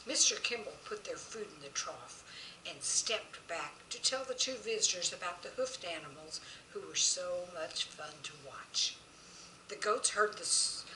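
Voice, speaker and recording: Female storyteller, very bright sharp voice, expressive folksy read, roomy distant mic. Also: mid-range voice, a little hiss or hum. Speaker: children's author reading own story.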